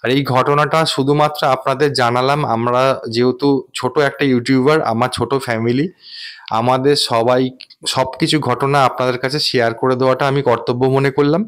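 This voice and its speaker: A man's voice: mid-range voice, fairly even intonation, fairly quick, fairly bright tone, clean tone. A man hosting a vlog, recounting a personal experience in an earnest, wordy, explanatory way. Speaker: vlogger and host.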